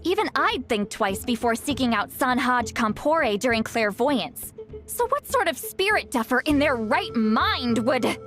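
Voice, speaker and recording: A woman's voice: high voice, animated, measured pace, fairly bright tone. An actress playing a sardonic, self-assured young female shaman in a video game, speaking in a dry, bossy manner with music behind her. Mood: wry, exasperated. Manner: wry, dry, sighing, bossy. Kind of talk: video game dialogue acting.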